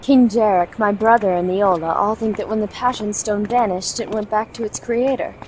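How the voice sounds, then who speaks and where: Woman playing insistent fantasy mentor, medium pitch. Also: steady tone, moderate pace, darkish tone, slight background noise, natural voice, poor audio. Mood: tearful, earnest. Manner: earnest, insistent. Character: headstrong, short-tempered ageless fantasy woman, a mentor. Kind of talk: fantasy audio drama acting.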